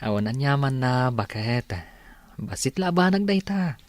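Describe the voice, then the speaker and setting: A man playing a supportive, cheerful young husband in a radio drama, with an Ilocano accent and an expressive, reassuring manner. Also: mid-range voice, measured pace, no echo, natural voice. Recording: music bed behind the voice.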